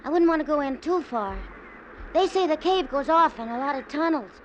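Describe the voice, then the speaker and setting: A high, boyish voice plays an excitable, cautious ten-year-old. The chatter is slangy 1940s radio acting, heard on a dull old recording with faint noise. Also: female voice, a little fast. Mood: uneasy. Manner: boyish slangy chatter, eager yet hesitant.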